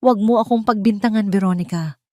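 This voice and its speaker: A woman's voice: medium pitch, quick pace, a little mellow, dry recording, clean studio sound, pitched above the performer's own voice, close to an everyday voice. Woman voicing a menacing, treacherous man. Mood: threatening. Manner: threatening, accusing. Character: menacing treacherous man, scheming lover, blaming.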